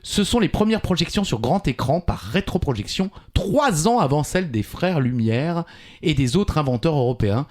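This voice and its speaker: Man with very lively, swooping intonation. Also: a little high, normal speed. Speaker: quiz podcast co-host. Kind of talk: casual quiz banter with read-out trivia.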